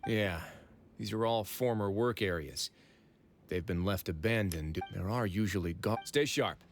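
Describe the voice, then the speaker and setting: A man playing a shrewd, composed fortress warden in a video game, speaking in a measured, authoritative way. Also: fairly low pitch.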